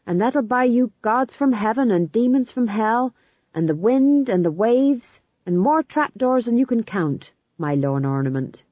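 A cocky, shrewd young actor-manager in a storybook, as a narrator puts him on, bossy and sure of himself. The delivery is lively and husky, with a dry, slightly dull sound. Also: mid-range voice, a little slow, dry recording, pitched above the performer's own voice. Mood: confident, teasing. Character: confident, shrewd, money-minded young actor-manager, man.

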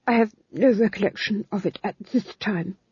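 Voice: mid-range voice, moderate pace, darkish tone, husky, dry recording, highs cut off. A reader putting on a jittery guilty duke. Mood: nervous. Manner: babbling, nervous, dreamy. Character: anxious guilty male duke, fretful.

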